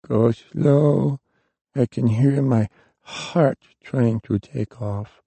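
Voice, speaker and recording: A man's voice: mid-range voice, drawn-out words, vocal fry, warm tone, husky, no room sound, voice pushed up, full character voice. A man voicing an ancient, frail male warlock who is dying, with a thin, strained old voice, in an audiobook reading. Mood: weak.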